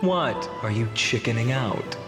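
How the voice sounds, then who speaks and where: A man voicing an earnest young heroic warrior in a video game, polite, articulate and gently teasing. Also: mid-range voice, expressive, unhurried, close to an everyday voice. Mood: friendly, challenging. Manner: polite, articulate, slightly theatrical. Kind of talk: anime-style video game voice acting. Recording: echoey hall reverb, music behind.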